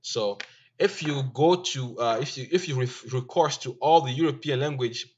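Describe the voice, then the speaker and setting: A man whose first language is Ewe, giving an informal language lesson in a slow, halting way with many fillers and restarts. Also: mid-range voice, darkish tone, breathy edge. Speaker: teacher. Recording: dull lo-fi recording.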